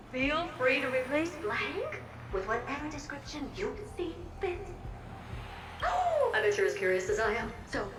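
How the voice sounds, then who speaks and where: A high-voiced, wildly animated female mirror spirit from a video game, theatrical and adoring. She gushes playfully, and sits back in an echoey space with loud music behind her. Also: distant mic, heavy background noise, exaggerated voice.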